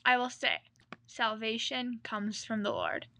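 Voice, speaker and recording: Female kids' podcast host, very high pitch, bright forward tone, presenting to listeners. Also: huge pitch swings, measured pace. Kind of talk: scripted children's podcast talk.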